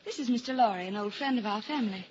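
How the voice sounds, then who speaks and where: An actress playing a gentle, anxious, soft-spoken young gentlewoman in a vintage radio drama. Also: a little high, racing, a loud crowd, close to an everyday voice. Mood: polite. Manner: polite, soft-spoken, earnest. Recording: very noisy, dull old radio recording.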